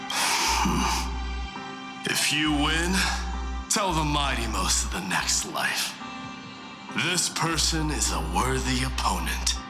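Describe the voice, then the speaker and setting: A man's voice: mid-range voice, big pitch swings, slow pace, long pauses. An actor playing a proud, scornful but honorable warrior king in a video game, speaking with imperious, taunting deliberation. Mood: proud, solemn. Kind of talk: dramatic video game voice acting. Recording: echoey room sound. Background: faint music.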